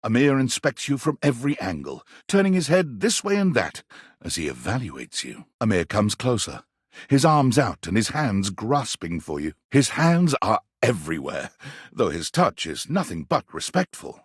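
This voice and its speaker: A grand, wry storyteller narrating a video game. His voice is husky and on the low side, rising and falling dramatically with theatrical, measured phrasing. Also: wildly swooping pitch, no echo, clean studio sound, full character voice. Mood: grand, dramatic. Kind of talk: dramatic scripted second-person narration.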